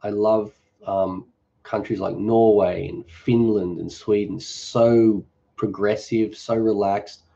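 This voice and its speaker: A middle-aged Australian man with a low, warm voice chats his way through a live-stream Q&A. He is relaxed and joking, and he rambles a little. The sound is muffled and lo-fi. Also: takes it slowly, vocal fry. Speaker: cooking channel host.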